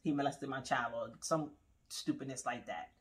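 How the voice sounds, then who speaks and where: A woman's voice: extremely low pitch, moderate pace. A woman in her forties, co-hosting a podcast in casual chat, rambling through stories and laughing often, recorded in a small living room. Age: 40s. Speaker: podcast co-host.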